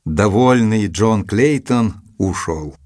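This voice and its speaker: An actor narrating a detective audio drama as a composed gentleman storyteller, measured and scripted. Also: lowish voice, animated, slight background noise.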